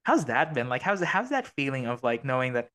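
A man's voice: medium pitch, big pitch swings, fairly quick, fairly bright tone. A man hosting a casual podcast, rambling with many fillers and restarts. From Filipino American, living abroad. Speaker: podcast host.

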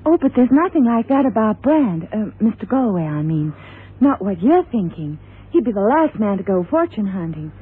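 A woman's voice: mid-range voice, very animated, moderate pace, husky, phone-quality sound. An actress playing a young heiress, anxious and protective of her fiancé, pleading and flustered in 1960s radio drama style. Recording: thin old radio broadcast.

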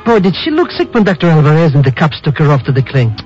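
Excitable high-pitched comic sidekick. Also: male voice, quick pace. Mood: puzzled, chatty. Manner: stammering, flustered, repeats himself. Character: excitable, bumbling, timid comic sidekick of a spy. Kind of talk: 1950s radio drama acting. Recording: muffled 1950s radio recording with music.

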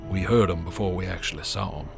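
A man plays a weary police inspector in a radio drama. His low voice recounts dark events in an understated, folksy manner. Music runs clearly underneath. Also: fast talker. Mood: grim recollection. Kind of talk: radio drama first-person narration.

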